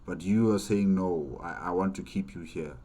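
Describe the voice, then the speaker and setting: A man hosting a reaction video, commenting casually and haltingly, repeating phrases and asking rhetorical questions. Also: deep voice, mellow, slight background noise.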